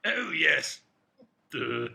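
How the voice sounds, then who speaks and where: A woman voicing an eccentric, smug, pedantic know-all in a comedy reading, with a raspy, creaky voice. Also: low voice, sing-song, extremely slow, pushed and bright, full character voice. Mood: cheeky. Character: eccentric pipe-smoking know-all inner personality, cheeky and smug.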